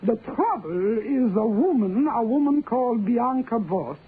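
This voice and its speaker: A woman's voice: fairly high pitch, big pitch swings, a little slow, breathy edge, full character voice. A woman playing an anxious, deferential French sister with a French accent, hesitant and earnest, in a radio drama. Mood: troubled. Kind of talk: old-time radio drama acting. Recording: tinny band-limited old radio, some noise.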